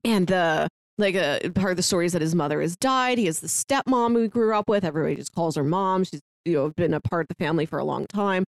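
A woman's voice: on the low side, fast talker, hardly any pauses, no room sound. A woman in her early thirties co-hosting a casual film discussion, retelling scenes conversationally with bits of quoted dialogue. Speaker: podcast co-host.

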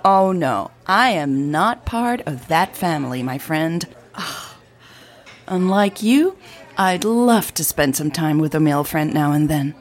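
A woman's voice: sits low, animated, slow. A woman voicing an amused, melodramatic female tech blogger with a theatrical, bantering manner in an audio drama. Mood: mock offended.

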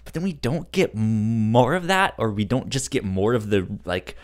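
A man co-hosting a podcast, chatting casually and haltingly, often stuttering over repeated syllables. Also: fairly low pitch, big pitch swings. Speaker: podcast host. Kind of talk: casual chat about a cartoon series.

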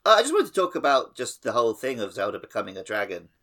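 A man's voice: medium pitch, huge pitch swings, quick pace, bright, forceful tone. A man co-hosting a podcast, explaining things in a casual, rambling way. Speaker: podcast co-host. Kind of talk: casual podcast discussion explaining mythology and games.

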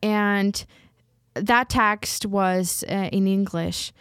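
A woman whose first language is Ukrainian, telling a personal story in a reflective way, slowly searching for words and often restarting her sentences. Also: medium pitch, steady tone, fairly bright tone, smooth, dry recording, studio quality. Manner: slow, hesitant, searching for words with many restarts. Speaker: writer.

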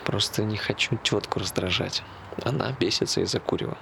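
Evasive, dryly joking ordinary guy, low-pitched with a gravelly edge, natural stage-drama delivery. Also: fairly even intonation, fairly quick, background noise. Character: Tolya, evasive, joking working-class man with a dog plan. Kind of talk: naturalistic stage play dialogue.

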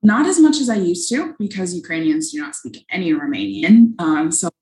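Low-voiced young woman, earnest. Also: sing-song, moderate pace, a little mellow, echoey room. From United States. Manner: earnest, thoughtful, with frequent ums. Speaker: missionary. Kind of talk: podcast interview answers.

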